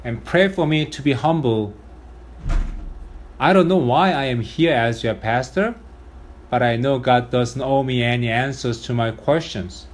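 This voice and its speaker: A man with a strong Korean accent, a pastor giving an earnest, measured sermon, his first Sunday with a new congregation. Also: medium pitch, a little hiss or hum.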